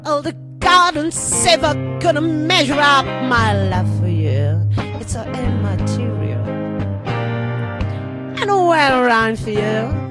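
Female singer, high register, very drawn-out rock delivery for a stage show. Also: very animated. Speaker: singer and reader in a theatre performance. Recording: loud guitar music behind the voice. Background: guitar.

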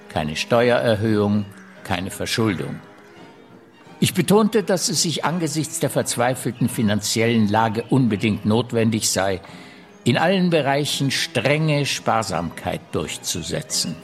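Radio drama: courtly French minister dictating a confidential letter, grave, articulate, slow, faint music underneath. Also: male voice, medium pitch. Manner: measured, deliberate, articulate delivery. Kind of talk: radio drama monologue, letter read aloud.

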